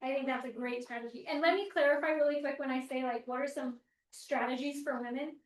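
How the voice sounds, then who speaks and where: A woman hosting a group discussion, talking quickly and casually with many "like" fillers. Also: sits high, steady tone. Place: meeting room with a group. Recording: echoey room from far off.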